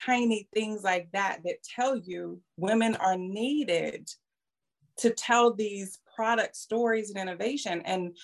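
A woman's voice: mid-range voice, creaky. A middle-aged woman explaining her views at length in conversation, emphatically, with rhetorical questions and checking tags.

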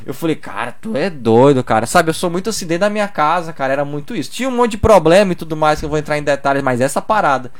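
A man's voice: on the high side, lively intonation, fast talker, hardly any pauses. A young man in Brazil, telling stories in a loose, slangy casual chat full of "cara". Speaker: podcast guest.

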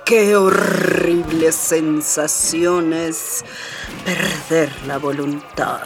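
An actor playing a languid, suffering victim in a melodramatic radio serial. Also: male voice, a little high, steady tone, very slow, creaky, far from the mic, loud music behind the voice, heavily acted. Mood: anguished.

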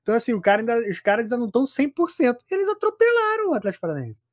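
A man's voice: very high voice, wildly swooping pitch, very fast, no echo, studio quality. A Brazilian man chatting casually about football as a commentator, slangy and emphatic, often repeating his phrases for weight.

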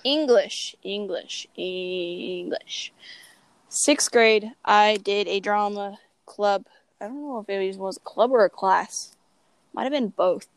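A woman's voice: mid-range voice, fairly even intonation, a little slow, clear, resonant tone, no echo, poor audio. A young woman co-hosting a podcast, telling personal stories in a playful, self-mocking, exclamatory way. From Oklahoma, United States. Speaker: podcast co-host. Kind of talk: casual chat, personal storytelling.

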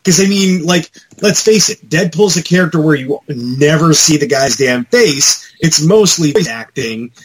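A man co-hosting a podcast in casual chat about pop culture, talking in an animated, rambling, mildly profane fan style. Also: a little high, moderate pace, hardly any pauses, husky, rough recording. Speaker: podcast co-host.

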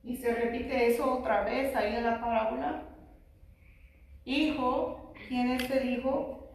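Slow-speaking woman preacher in an echoey hall. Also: on the high side, fairly even intonation. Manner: slow, prayerful, sprinkles amens and hallelujahs. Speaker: preacher teaching a Bible study. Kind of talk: church Bible study sermon with prayer. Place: church hall. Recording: very echoey room, voice far from mic.

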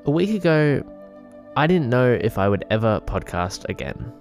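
A man hosting a comedic podcast, talking in a hesitant deadpan full of fillers and restarts, with a voice he calls shrill. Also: normal speed, soft background music. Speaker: podcast host. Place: a friend's house.

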